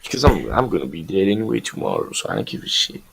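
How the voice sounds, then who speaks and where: A teenage boy with a very deep, gravelly voice, sick and strained, joking deadpan in a casual interview. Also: fast talker, low-quality recording. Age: teens. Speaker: guest music producer.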